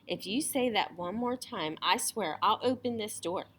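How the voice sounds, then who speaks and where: Exasperated young sister read by a woman, defiant, emphatic, storybook delivery, lively intonation. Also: mid-range voice, normal speed. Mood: threatening.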